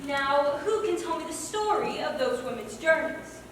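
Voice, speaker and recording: Actress as strict, high-voiced schoolteacher. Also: female voice, lively intonation, normal speed, fairly bright tone. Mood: instructive. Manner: firm, instructive. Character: strict, correcting sewing teacher at boarding school. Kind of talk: stage play acting. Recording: echoey hall, distant mic, noisy.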